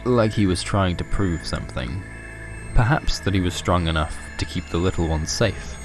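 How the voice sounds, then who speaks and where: A man's voice: sits low, normal speed, over music, poor audio. A man narrating a scripted horror story for a fiction podcast.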